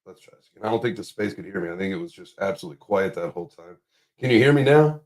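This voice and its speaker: A man hosting a casual crypto livestream. His voice is raspy and hoarse, and he talks in upbeat hype with lots of filler words. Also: low-pitched, animated, racing, a little mellow. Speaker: livestream host.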